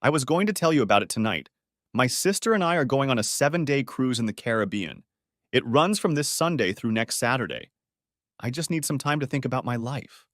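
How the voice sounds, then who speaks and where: A man's voice: medium pitch, expressive, fairly quick, fairly bright tone, no echo, studio quality, close to an everyday voice. A man voicing an exasperated, defensive middle-aged wife in a narrated story.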